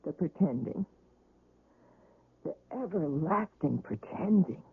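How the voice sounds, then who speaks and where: An actress playing a weary, despairing wife recovering from surgery, mournful and yearning, in a radio drama. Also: female voice, low-pitched, expressive, takes it slowly, breathy edge, phone-quality sound, exaggerated voice. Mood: anguished, pleading. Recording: roomy, band-limited old radio recording.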